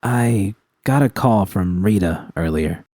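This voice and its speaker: A man's voice: low voice, animated, takes it slowly, mellow, no room sound, studio quality, heavily acted. A man voicing a weary, guarded young woman in audiobook dialogue, subdued and hesitant. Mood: reluctant, subdued. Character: weary, guarded young woman, caring but blunt girlfriend.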